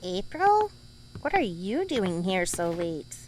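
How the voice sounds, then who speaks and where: Woman as wary, animated lab scientist. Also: medium pitch, slow, a little hiss or hum, rough recording. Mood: suspicious. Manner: hesitant, wary questioning. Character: guarded, suspicious female lab scientist. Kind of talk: animated comic-book audio drama.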